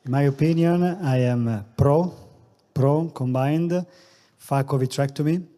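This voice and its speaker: A male eye surgeon from Italy on a conference panel, speaking haltingly with non-native phrasing and many fillers. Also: mid-range voice, dark tone, big-room sound.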